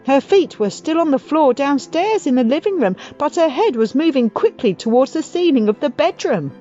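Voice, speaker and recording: A woman narrating a children's audiobook as an expressive storyteller. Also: high voice, moderate pace, dull audio. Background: music.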